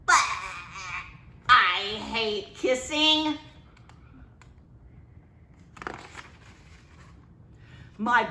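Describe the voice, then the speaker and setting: A woman's voice: high voice, sharp tone, exaggerated voice. A woman voicing a grumpy, aggrieved little boy in a storybook, whining and drawing out his complaints. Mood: disgusted. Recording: echoey, roomy sound.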